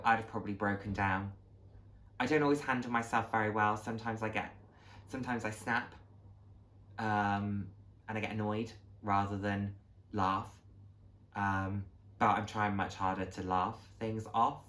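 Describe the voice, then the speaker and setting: A man's voice: fairly low pitch, fairly even intonation, normal speed, fairly bright tone. Male vlogger, late 20s; heartfelt, encouraging, informal delivery with a reflective streak. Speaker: vlog host. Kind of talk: casual heartfelt talk to viewers.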